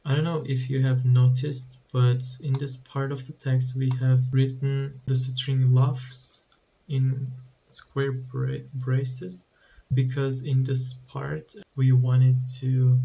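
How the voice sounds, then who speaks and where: A man talking through a coding tutorial at a slow, hesitant pace, his voice flat and mellow. The recording is dull and a little muffled with faint background hiss. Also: mid-range voice, vocal fry. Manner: slow, halting explanation with restarts. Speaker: programming tutorial host. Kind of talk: screen-recorded coding walkthrough.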